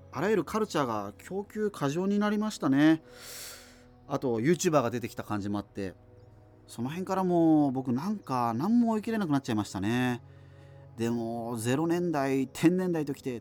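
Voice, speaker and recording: A man's voice: medium pitch, close to an everyday voice. A man performs a radio drama host with a middling voice, musing in a self-effacing, wistful ramble. Music plays behind the scripted monologue.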